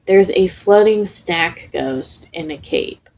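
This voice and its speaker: A woman reading the part of an exasperated, dry male teacher in a story, muttering and deadpan. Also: lowish voice, slow. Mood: dry, resigned.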